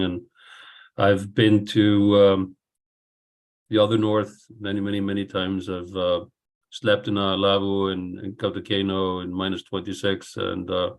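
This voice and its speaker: Older guy on a video call, low voice, pretty monotone, rambles along. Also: rough recording. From Iceland. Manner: rambling, unhurried, self-deprecating. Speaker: guest speaker. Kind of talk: casual online talk. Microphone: computer on a video call.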